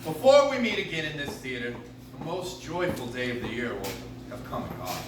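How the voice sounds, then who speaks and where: Man acting as genial old-time radio producer-host, stately yet animated, distant mic, noticeable noise. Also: medium pitch, a little fast, natural voice. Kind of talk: 1940s radio host sign-off. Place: live stage in a coffee shop. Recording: echoey room, distant, clear background noise.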